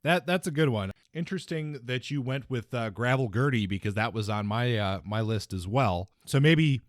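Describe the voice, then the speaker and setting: A man co-hosting a casual book discussion, speaking in a measured, tentative way that softens his points. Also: mid-range voice, animated, no echo, studio quality. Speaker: podcast co-host.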